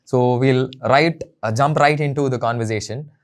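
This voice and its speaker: A man hosting a roundtable panel, moving it along with short, upbeat prompts and quick reactions. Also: medium pitch. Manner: upbeat, brief interjections. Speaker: host, moderator. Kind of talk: roundtable discussion.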